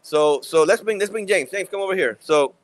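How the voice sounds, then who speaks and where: A man with a Puerto Rican accent co-hosting a podcast at a live event, playful and quick to joke and laugh. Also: sits high, slight background noise. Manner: playful, joking, laughs often. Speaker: podcast co-host. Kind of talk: casual chat at a live event.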